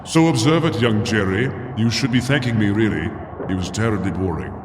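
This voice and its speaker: Man playing a theatrical dark sorcerer in cavernous reverb. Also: lowish voice, brisk, noisy background, natural voice. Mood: cold gloating. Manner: grandiose menace slipping into casual chattiness. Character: gloating dark sorcerer villain, theatrical yet chummy. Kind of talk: comedic fantasy audio drama acting. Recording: long hall reverb with faint music behind.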